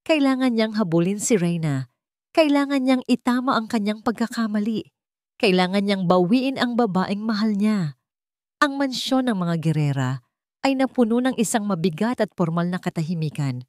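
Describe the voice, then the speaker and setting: A woman's voice: medium pitch, animated, moderate pace, darkish tone, no echo, clean studio sound. A woman with a Filipino accent narrating a scripted dramatic story.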